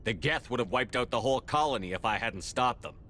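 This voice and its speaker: A man's voice: mid-range voice, lively intonation, fast, sharp tone, a little hiss or hum. A man playing a stoic, resolute soldier in command, with terse, restrained, serious delivery in a sci-fi video game. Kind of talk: cinematic sci-fi game dialogue.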